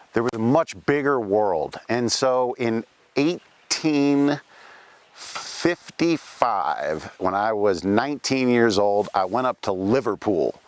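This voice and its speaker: A man's voice: mid-range voice, no echo. A man playing a folksy pioneer ghost. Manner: folksy storytelling, frequent "right?" asides and "uh" fillers. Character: ghost of a male Welsh-born pioneer gold miner. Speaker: costumed cemetery tour performer. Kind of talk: first-person historical reenactment. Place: outdoor cemetery.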